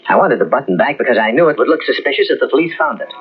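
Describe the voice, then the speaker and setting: A man's voice: medium pitch, very animated, racing, slightly rough. An actor playing a nervous, scheming male murder suspect in an old radio mystery, stammering when cornered. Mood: flustered. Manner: flustered, stammering. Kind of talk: 1940s radio acting. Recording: muffled old radio recording.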